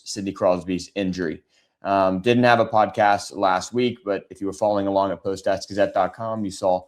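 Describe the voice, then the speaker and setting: A man with a raspy, hoarse voice hosts a sports podcast, talking through the news in a casual, conversational way with frequent ums. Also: fairly low pitch, darkish tone. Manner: conversational, many filler ums. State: hoarse from shouting.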